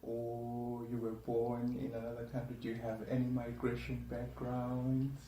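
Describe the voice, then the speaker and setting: A man's voice: mid-range voice, completely flat delivery, unhurried, warm tone. A man hosting a podcast, interviewing guests in a conversational style. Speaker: podcast host and journalist. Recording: echoey room, distant mic, faint noise.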